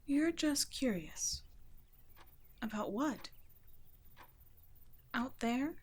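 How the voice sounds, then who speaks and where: Breathy, tender vampire woman acted by a woman. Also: high-pitched, lively intonation, very slow, full character voice. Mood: gently firm. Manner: soft, breathy, tender, often dropping to a whisper. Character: gentle, protective vampire woman caring for sick ward. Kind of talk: roleplay ASMR, soft intimate acting.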